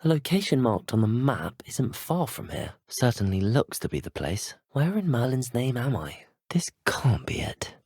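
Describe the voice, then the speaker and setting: An actor with a British accent playing a polite, earnest, curious teenage wizard student in a video game, speaking in a well-spoken, measured manner. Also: male voice, medium pitch, big pitch swings, a little mellow, husky, no echo, studio quality. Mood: musing, determined. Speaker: video game player character. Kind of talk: scripted game voice acting.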